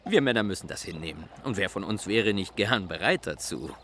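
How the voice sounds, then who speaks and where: A man's voice: low voice, animated, a little fast, fairly bright tone, a little hiss or hum. A man playing a good-humoured, obliging museum craftsman proud of his art, in a radio play. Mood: genial, proud. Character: genial, proud museum animal modeller, obliging.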